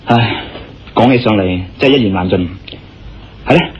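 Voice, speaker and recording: A man playing a confident, courteous adventurer hero in a radio drama, with a Hong Kong Cantonese accent and an expressive, conversational delivery. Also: on the low side, normal speed, husky. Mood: courteous, curious. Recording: muffled lo-fi radio drama recording.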